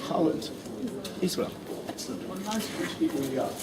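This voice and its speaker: Male walking-tour guide, animated and quizzing, distant outdoor recording with traffic noise. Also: high voice, fairly quick. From Ireland. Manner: lively, quizzes the group with questions. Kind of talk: walking tour talk to a group. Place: outdoors in old city streets. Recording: distant mic, street noise.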